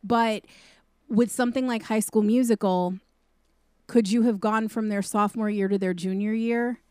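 Female podcast co-host, mid-pitched, direct and candid, dry mic. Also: steady tone, normal speed, darkish tone, clean tone, dry recording. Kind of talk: casual movie review chat.

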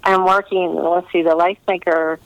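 Chatty woman with a creaky voice. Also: fairly low pitch, level tone, moderate pace, dull audio. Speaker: novelist being interviewed. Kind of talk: casual conversational interview.

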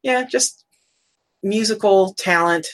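A woman co-hosting a casual chat about old cartoons, chatty and quick to laugh, looking things up as she talks. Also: mid-range voice. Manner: chatty, laughs often, looks things up aloud. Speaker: podcast co-host.

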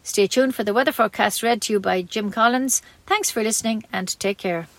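A woman reading a local community radio news bulletin in a steady voice, stumbling and restarting now and then. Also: mid-range voice, a little fast, no echo.

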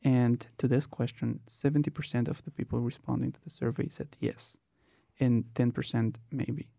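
A man's voice: medium pitch, fairly even intonation, moderate pace, vocal fry, warm tone, no room sound, studio quality. A man in his twenties from Colombia, hosting a student podcast and telling his own story with many "uh" and "um" fillers. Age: 20s. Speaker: podcast host. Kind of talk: casual podcast talk.

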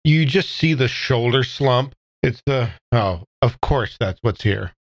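A man's voice: medium pitch, expressive, measured pace, no echo. A man playing a tabletop game, casually describing what his character does. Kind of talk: casual improvised role-play chat.

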